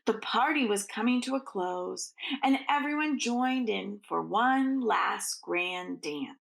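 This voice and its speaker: A woman reads a picture book to children in an unhurried, creaky voice. She stretches out key words for emphasis and slips into soft, casual asides. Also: on the high side, poor audio. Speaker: teacher reading a picture book aloud to children. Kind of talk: expressive read-aloud storytelling with casual asides.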